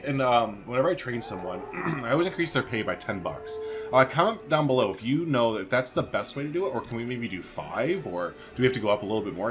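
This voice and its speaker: A man hosting a gaming video, giving casual, slangy let's play commentary and thinking aloud. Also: on the low side.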